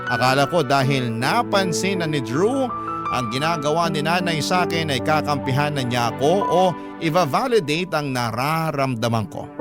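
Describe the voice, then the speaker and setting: A man with a Filipino accent narrating an emotional first-person story in the style of radio drama. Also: fairly high pitch.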